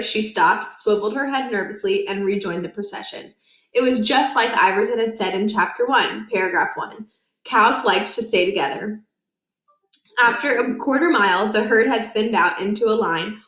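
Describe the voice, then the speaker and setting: Woman storybook reader, mid-pitched, expressive children's narration, noticeably roomy sound. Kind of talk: children's read-aloud narration. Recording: roomy, echoing indoor recording.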